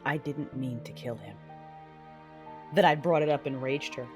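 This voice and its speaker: An actress playing a cruel, cold cyborg warrior mother in an audio drama, measured, menacing and commanding. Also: very deep voice, darkish tone. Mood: focused intensity. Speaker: narrator of own story in audio drama. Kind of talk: dramatic first-person narration and acting. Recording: music bed behind voice.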